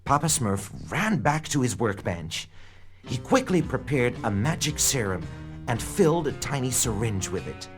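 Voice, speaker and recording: Animated male children's storyteller over music. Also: mid-range voice, measured pace. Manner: expressive, dramatic storytelling. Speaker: storyteller, narrator. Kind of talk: scripted children's read-along narration. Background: background music.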